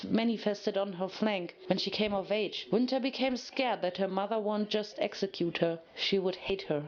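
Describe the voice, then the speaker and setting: A woman's voice: medium pitch, darkish tone, highs cut off. A woman reading a story aloud as narrator, in a dramatic scripted style.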